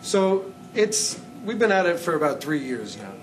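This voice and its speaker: A man's voice: on the high side, very animated, normal speed. A man from Iowa, a conga player, answering interview questions in a chatty, easygoing way. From Iowa, USA. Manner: chatty and laughing easily. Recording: distant mic with clear background noise.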